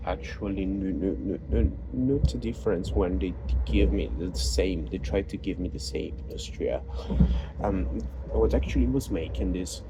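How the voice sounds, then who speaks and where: A man with a very low voice and an Italian accent speaks in a halting personal monologue, full of fillers and restarts. Vehicle noise rumbles clearly behind him. He is a native Italian speaker talking in English. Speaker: vlogger. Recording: noisy background.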